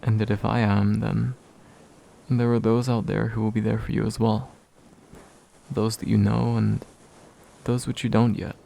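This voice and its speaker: A man playing a gentle, slightly bashful demon in a soft, soothing, intimate ASMR comfort roleplay. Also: fairly low pitch, level tone, creaky, a little hiss or hum. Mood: tender, reassuring. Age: young adult. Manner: soothing, hushed, unhurried, tender.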